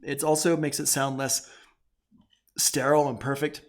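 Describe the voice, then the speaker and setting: A man with a medium-pitched voice teaches audio production in a casual live tutorial. He talks conversationally, explaining as he works and restating his main points for emphasis. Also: little pitch movement, moderate pace. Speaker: music production instructor.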